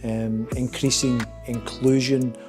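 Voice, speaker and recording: A man speaks slowly in an interview, hesitating and pausing mid-sentence. His pitch stays level, and faint guitar music plays underneath. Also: level tone. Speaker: project member discussing a stage play. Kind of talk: documentary interview. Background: soft guitar music.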